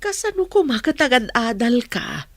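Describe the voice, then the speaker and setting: An actress playing a middle-aged village mother in a radio drama, worried, questioning and expressive. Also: fairly high pitch, normal speed, no room sound, exaggerated voice. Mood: curious, concerned.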